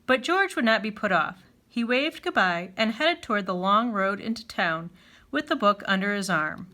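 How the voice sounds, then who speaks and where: A woman reading a children's picture book aloud in an expressive, clearly phrased storytelling style. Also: medium pitch, normal speed.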